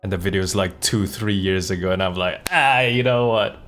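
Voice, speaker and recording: A man's voice: deep voice, normal speed, fairly bright tone. A man chatting casually on a live stream as host, slangy and wry, rambling from one thought to the next. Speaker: streamer and voice actor. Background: faint music.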